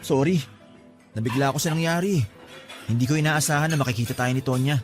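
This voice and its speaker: A man's voice: medium pitch, quick pace, over music, natural voice. A man playing a nervous, evasive two-timing boyfriend in a radio drama, prone to stammering when flustered. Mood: apologetic, pleading. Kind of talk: Tagalog radio drama acting.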